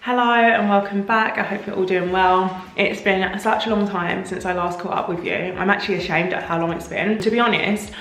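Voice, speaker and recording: Woman, casual home vlog, candid chat, mid pitch, roomy echo. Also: steady tone, fairly quick, hardly any pauses, a bit bright, soft background music. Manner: chatty, candid, filmed while working around the house. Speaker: home renovation vlogger. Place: house under renovation, indoors. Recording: echoey room.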